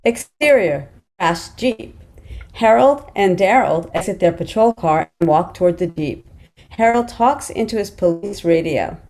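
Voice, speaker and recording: A woman's voice: fairly low pitch, expressive, a little slow, a little mellow. A woman reading screenplay action lines as scripted narration. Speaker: stage-direction reader.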